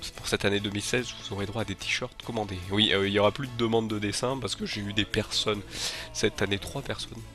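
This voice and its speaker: A slightly low-voiced man with a cold chats casually to his viewers. He rambles, hesitates and circles back on his own sentences. Faint music plays behind him. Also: fairly even intonation, fairly quick, a bit bright. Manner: rambling, hesitant, many filler sounds and restarts. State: has a head cold. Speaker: channel host. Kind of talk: casual video announcement to viewers.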